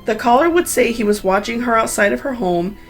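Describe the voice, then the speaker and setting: A woman's voice: fairly high pitch, animated, brisk, faint music behind. A woman hosting a true crime story, reading scripted narration with personal asides in an earnest, sympathetic, cautionary way.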